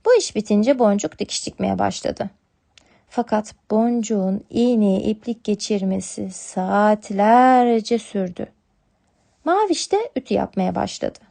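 A woman's voice: medium pitch, animated, a little slow, gravelly, warm tone. A woman narrating a scripted storybook tale for children as a storyteller.

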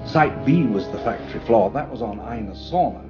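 An actor playing a grave older park founder explaining secrets in a measured way. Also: male voice, mid-range voice, animated, close to an everyday voice. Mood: confiding. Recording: dull film audio under music.

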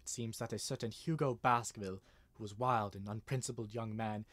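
A man playing an earnest, nervous gentleman with a formal manner in an old-style radio mystery. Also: on the low side, fairly even intonation, fairly quick. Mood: solemn reading. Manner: hesitant, formal. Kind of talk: 1930s radio mystery acting.

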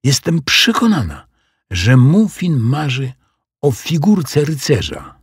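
An eager, quick-witted small girl from a children's tale, as a male narrator puts her on. The voice is lifted high and slightly breathy, swinging up and down with excitable emphasis. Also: dry recording, clean studio sound, full character voice. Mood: confident. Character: clever, eager little girl helping a dragon.

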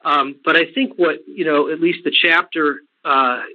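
Halting man on a narrow phone line. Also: on the high side, fairly even intonation. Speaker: environmental group representative. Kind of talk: unscripted answer on a conference call. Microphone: telephone conference call.

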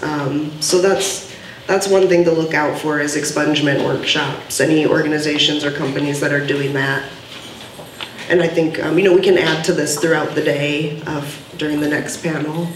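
A woman's voice: deep voice, steady tone, moderate pace. A woman moderating a panel discussion at a podium, speaking earnestly with many fillers and taking audience questions. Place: gallery event room, indoors. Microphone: podium mic from a far camera. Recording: roomy, distant, faint hiss.

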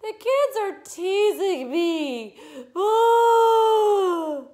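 A woman's voice: lowish voice, huge pitch swings, gravelly, heavily acted. A woman voicing a whiny, aggrieved eight-year-old girl in a storybook, bawling and drawing out her complaints. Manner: bawling, shrieking, drawn-out whining.

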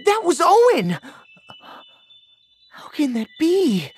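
A woman's voice: very high voice, huge pitch swings, slow pace, no room sound, exaggerated voice. A woman playing an earnest, bewildered young hero in a fantasy video game, with an emotive, hesitant delivery. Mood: puzzled, urgent.

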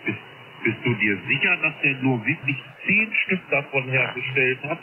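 A man's voice: mid-range voice, expressive, normal speed. A man speaking casually as an amateur radio operator over a shortwave transmission. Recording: narrow phone-like shortwave radio, clear static.

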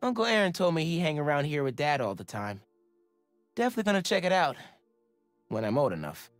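An actor playing an upbeat, earnest teenage superhero from New York with an American accent, chatting casually in a video game. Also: male voice, on the high side, big pitch swings, brisk, faint music behind. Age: young adult. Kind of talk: game voice acting, casual reflection.

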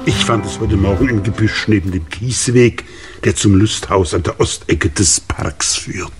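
An actor playing an earnest estate gardener with a husky voice, deferential and factual, in a 1950s German radio play. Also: male voice, fairly low pitch, unhurried, over music, natural voice.

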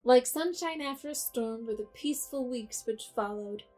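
A woman narrating a classic storybook in a measured way. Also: on the high side, darkish tone, soft background music.

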